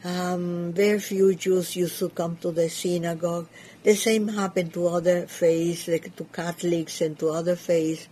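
Older woman with a Cuban Spanish accent, Yiddish as her first language; halting, dark-toned interview answers. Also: on the low side, fairly even intonation, a little hiss or hum. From Cuba. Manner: hesitant, searching for English words. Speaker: community leader. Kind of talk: interview conversation.

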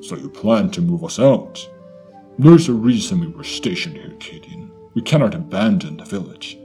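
A man playing a wise, steady tauren commander in a fantasy audio drama, with formal, deliberate phrasing. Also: deep voice, faint music behind. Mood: firm.

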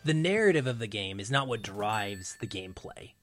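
A man's voice: lowish voice, lively intonation, normal speed, soft background music. A man around his thirties or forties talking casually as a podcast guest, explaining his film opinions in an analytical, emphatic way.

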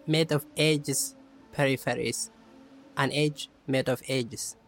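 A man playing a solemn corridor inhabitant, reciting measured poetic lines in a radio play. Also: mid-range voice, fairly even intonation, a bit bright, soft background music. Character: solemn male inhabitant reciting of ages and traditions.

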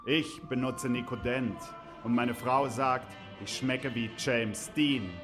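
A man playing a smug, slick husband pitching a product in a spoof radio advert. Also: fairly even intonation, slow pace, natural voice. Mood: smug, salesy. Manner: salesman-like, slick. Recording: loud music bed. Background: loud music.

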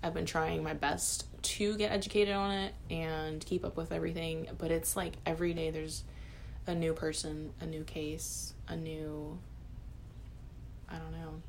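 A woman's voice: low-pitched, creaky, slight background noise, poor audio. A woman in her twenties co-hosting a casual podcast chat, rambling, self-deprecating and laughing a lot. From United States. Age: 20s. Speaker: podcast co-host.

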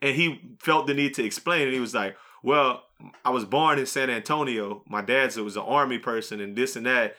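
A man's voice: medium pitch, normal speed, pushed and bright. A man hosting a podcast, debating casually with friends in a blunt, profane, teasing way. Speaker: podcast host.

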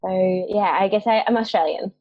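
A woman's voice: mid-range voice, normal speed, dry recording. A young woman from Mexico talks through a remote interview in a loose, chatty way, laughing often. Her voice sits in the middle of the range. The audio is dry and muffled, like a lo-fi call. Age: young adult. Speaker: guest, marketing agency founder. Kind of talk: casual interview chat.

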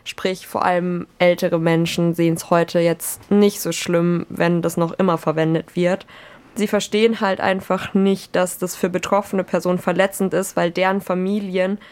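A woman's voice: on the low side, level tone, moderate pace, a little mellow, clear, resonant tone. A young woman presenting explanatory commentary in a matter-of-fact, scripted manner.

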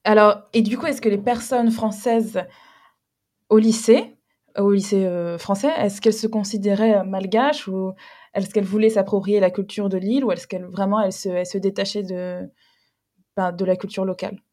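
A woman's voice: medium pitch, fairly even intonation, normal speed, mellow. A woman interviewing a guest in a casual talk, asking questions and giving frequent short backchannel replies.

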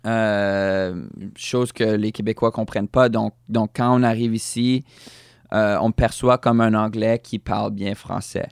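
A young man with a Fransaskois French accent, speaking slowly and haltingly in a casual interview. His voice is low and smooth, recorded close and dry. He restarts often and slips in English words. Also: fairly even intonation, no room sound. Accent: Fransaskois French, influenced by English. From Saskatchewan, Canada. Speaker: guest musician.

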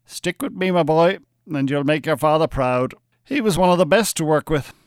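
An actor with an Irish accent playing a smooth, bossy, pompous hypnotist and con-artist in a radio drama. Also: male voice, fairly high pitch, normal speed, no echo, clean studio sound, close to an everyday voice. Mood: confident.

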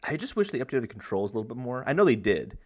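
A young man co-hosting a casual video game podcast, rambling and halting as he searches for words. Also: medium pitch, very animated. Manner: rambling, halting, self-deprecating. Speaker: podcast co-host. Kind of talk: casual chat about video games.

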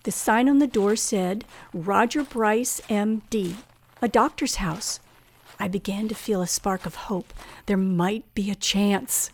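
A woman's voice: medium pitch, a little slow. An actress playing a frightened woman narrating her own ordeal in a 1940s-style radio thriller, dramatic and suspenseful. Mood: anxious. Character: frightened young woman narrator held captive by killer. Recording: old radio drama with music behind.

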